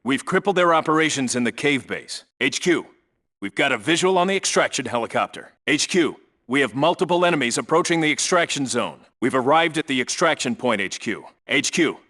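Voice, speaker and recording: Casting: seasoned special forces team leader in a military game, mid-pitched, hard-edged forceful tone, terse and authoritative delivery. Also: male voice, animated, a little fast. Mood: focused, reporting. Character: seasoned male Navy SEAL team leader, terse and commanding. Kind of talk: video game voice acting, clipped military radio reports.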